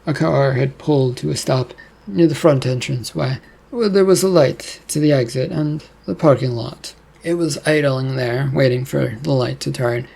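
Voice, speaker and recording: A man's voice: mid-range voice, vocal fry, darkish tone, breathy edge. A man reading scripted fiction as a narrator.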